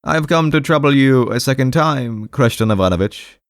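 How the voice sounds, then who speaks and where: Man voicing a timid, high-strung, stammering clerk. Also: expressive, moderate pace, no echo, studio quality, close to an everyday voice. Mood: ingratiating, nervous. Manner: hesitant, stammering, repetitive, half-aggrieved. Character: timid, defensive, rambling male clerk visiting his doctor. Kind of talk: audiobook character voice.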